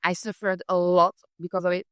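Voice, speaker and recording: A woman with a French accent hosts a podcast interview, her voice bright and forward. She tells her own story expressively, recorded close and dry with no room sound. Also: fairly low pitch, fairly quick, clean tone, dry recording. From France. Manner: expressive, shares personal story. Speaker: podcast host.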